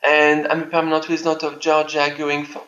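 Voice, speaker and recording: Bright-toned man on a dull line. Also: fairly high pitch, monotone, brisk. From France. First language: French. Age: 30s. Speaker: tax accountant guest. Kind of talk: interview answers.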